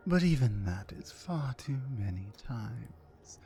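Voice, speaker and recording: A woman playing a towering, mean, dominant orc captor in an ASMR roleplay, commanding and deliberate. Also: a bass voice, sing-song, mellow, full character voice. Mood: scornful, threatening. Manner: menacing, commanding, slow and deliberate.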